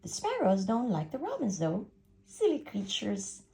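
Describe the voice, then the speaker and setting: A woman's voice: medium pitch, wildly swooping pitch, a little slow, creaky, dark tone, pitched above the performer's own voice, heavily acted. A woman voicing a sweet, chattering young girl from a storybook, dreamily telling tales. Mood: chatty. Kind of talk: storybook character voice.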